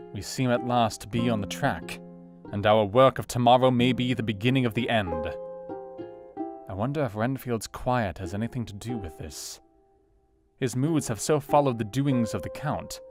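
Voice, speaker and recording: A man narrating a doctor's diary as scripted audio drama. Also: on the low side. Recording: music behind the voice.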